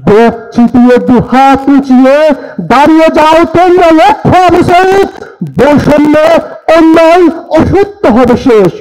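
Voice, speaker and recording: A man's voice: very high voice, lively intonation, measured pace, darkish tone, poor audio. A young man with a Bangladeshi accent recites protest poetry in a fervent, defiant, declamatory manner. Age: young adult.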